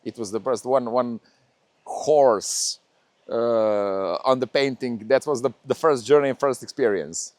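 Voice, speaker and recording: A man's voice: medium pitch, no room sound. Serbian painter, male, hesitant and searching for words, mid-range voice, dry recording. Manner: hesitant, halting non-native English with many fillers. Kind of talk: interview conversation.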